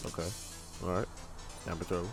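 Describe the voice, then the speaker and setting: A man's voice: sits low, little pitch movement, brisk, music in the background. Man reacting casually in slangy, blurting bursts. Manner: slangy, exclamatory, blurting repeated phrases. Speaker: gaming video creator reacting to a trailer. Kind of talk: casual live reaction commentary.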